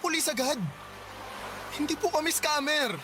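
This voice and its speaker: An actor playing a polite, naive young husband who stammers when nervous. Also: male voice, very high-pitched, normal speed, noisy background. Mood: flustered, pleading. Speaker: voice actor in radio drama. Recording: music bed under the voice.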